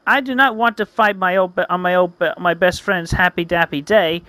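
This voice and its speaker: A man's voice: high voice, fairly even intonation, fairly quick, sharp tone, no room sound, rough recording. A man voicing an earnest, self-styled hero duelist in a declamatory, melodramatic way, like amateur anime-style storytelling.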